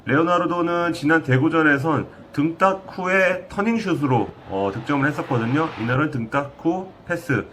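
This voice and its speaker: A man reporting a football match review, speaking in a measured way with frequent fillers. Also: on the high side, lively intonation, a bit bright, a little hiss or hum.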